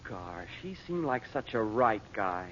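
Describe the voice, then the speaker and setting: An actor playing a brash, slangy teenage son in an old-time radio adventure, boyish and exclamatory. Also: male voice, fairly low pitch, very animated, a little slow, fairly bright tone. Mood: indignant. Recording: dull, noisy old radio recording, distant.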